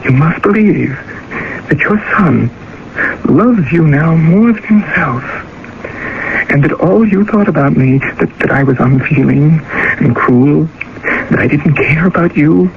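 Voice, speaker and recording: A guilt-ridden, despairing young former student in a radio drama. His voice sits a little high with a gravelly creak, halting and anguished. The old broadcast sound is muffled under heavy noise. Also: animated. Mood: despairing, tender. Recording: muffled, very noisy vintage radio recording.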